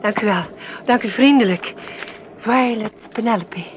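A woman's voice: fairly high pitch, measured pace, highs cut off, a little hiss or hum, lightly acted. An actress in a Dutch radio play playing a chatty, polite, fussy lady traveller who speaks effusively with small hesitations. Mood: fluttery, relieved. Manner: fluttery, effusive, slightly hesitant.

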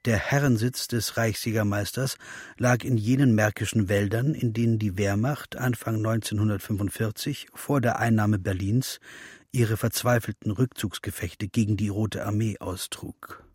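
A man playing a sober, sardonic chronicler, narrating in a measured, precise documentary style for a radio drama. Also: on the low side, steady tone, darkish tone, slightly rough, no room sound, clean studio sound. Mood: grave.

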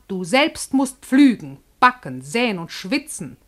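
A woman's voice: mid-range voice, sing-song, unhurried, a bit bright. An actress playing Poverty as a proud old woman, arguing in declamatory verse in a classical radio comedy. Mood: scornful, lecturing. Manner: declamatory, argumentative stage delivery.

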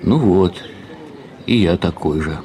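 An actor in an audio drama playing a weary, melancholy ageing academic husband, musing with resigned affection. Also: male voice, low-pitched, takes it slowly, mellow, a little hiss or hum.

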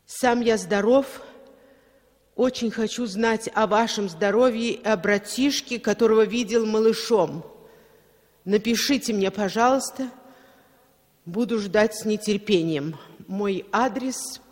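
A sad homesick son reading a letter, high voice, real slow, echoey old radio play. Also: male voice. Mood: sorrowful, yearning. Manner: halting, plaintive letter reading. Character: homesick exiled son, sorrowful and tender. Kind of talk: 1960s radio play monologue. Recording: long echoing reverb.

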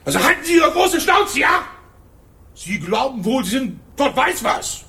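Bigoted radio-play caretaker: high, lively, gruff and contemptuous. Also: male voice, measured pace, exaggerated voice. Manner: gruff, contemptuous, bursts into shouting. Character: hostile bigoted male building caretaker. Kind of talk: radio drama acting.

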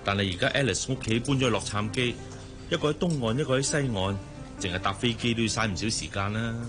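A male actor playing an affluent, patient fiancé in a Cantonese radio drama, reasoning gently and confidently in a Hong Kong accent. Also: lowish voice, fast, natural voice. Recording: music behind the voice.

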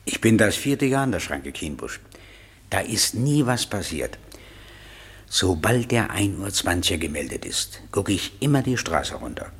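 An actor playing a chatty railway crossing keeper with a folksy storytelling manner in a German radio crime drama. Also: male voice, low-pitched, very animated, measured pace, fairly bright tone, slightly rough, exaggerated voice. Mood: chatty, amiable.

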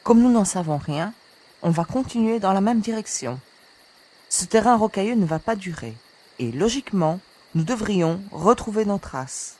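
A woman's voice: medium pitch, lively intonation, moderate pace, warm tone, natural voice. A woman playing a resolute, reasoning leader of a search party in an audio drama. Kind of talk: French audio drama acting.

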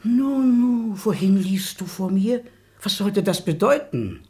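A man's voice: high voice, lively intonation, slow, dark tone, exaggerated voice. A man acting a fussy, fatherly old baron in a radio play, pressing his guest with eager courtesy. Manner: effusive, pleading courtesy. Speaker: actor.

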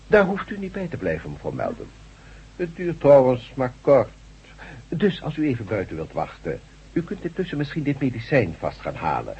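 An actor playing an authoritative, condescending doctor in a radio drama, patronising and assured. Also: male voice, mid-range voice, wildly swooping pitch, moderate pace, darkish tone, breathy edge, exaggerated voice. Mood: brisk, instructing. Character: brisk, condescending male doctor, smoothly authoritative. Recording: dull, muffled radio drama recording.

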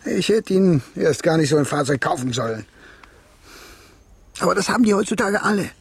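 A rough, middle-aged father in a radio play. His voice is strongly raspy and moves animatedly up and down. He sounds proud and defensive, with a troubled edge. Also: high voice, expressive, fairly quick, dry recording, exaggerated voice. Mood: anxious, defensive. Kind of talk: German radio play acting.

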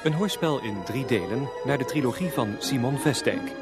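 A man's voice: mid-range voice, expressive, normal speed. A man announcing the title and credits of a radio drama in a scripted style. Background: loud-ish music.

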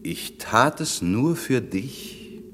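An actor playing a grave, commanding magician and father in a radio play, with a solemn, measured, theatrical manner. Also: mid-range voice, lively intonation. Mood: soothing, grave. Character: grave, commanding magician father soothing his young daughter. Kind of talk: classic German radio play acting. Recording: long reverberant hall, noisy background.

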